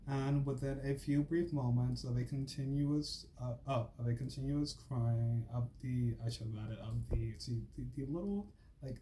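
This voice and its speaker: A man's voice: medium pitch, warm tone, slight background noise, low-quality recording. A man talking casually to his viewers and then reading a school assignment aloud, full of fillers and stumbles. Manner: filler-heavy, says "like" and "freaking" often, stumbles and restarts while reading. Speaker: channel host.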